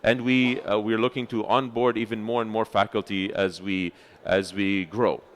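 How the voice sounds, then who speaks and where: A man giving a conference talk as chair of a research center, speaking loudly into a microphone with frequent filler sounds and restarts. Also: lowish voice, level tone, normal speed. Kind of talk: conference presentation. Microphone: handheld or stage microphone.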